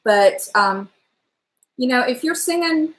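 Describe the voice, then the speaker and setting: A middle-aged woman talks to her live-stream viewers in a chatty, animated way, and her voice sits a little high. She has a powerful, trained singer's voice. The sound comes from a webcam in a home kitchen, where the room adds a noticeable echo. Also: slow. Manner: chatty and animated, gesturing, breaks into song. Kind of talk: casual live-stream chat. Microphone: webcam at desk distance.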